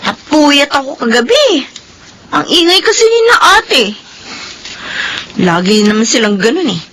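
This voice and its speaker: A woman's voice: high voice, big pitch swings, measured pace, a bit bright, full character voice. An actress playing a young pregnant woman in a classic radio drama, complaining and groaning in pain. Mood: weary. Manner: complaining, then crying out in pain. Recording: noisy old radio drama recording.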